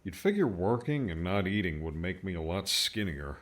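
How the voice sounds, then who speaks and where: A man voicing a gruff, grumbling older maintenance man in an audiobook, with a wry, folksy manner. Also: deep voice, sing-song, normal speed.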